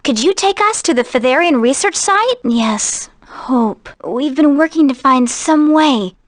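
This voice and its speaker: High-voiced, earnest young heroine. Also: female voice, animated, unhurried, dry recording. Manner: earnest, indignant, formal phrasing. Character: earnest, righteous young female adventurer, quick to scold. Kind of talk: video game voice acting.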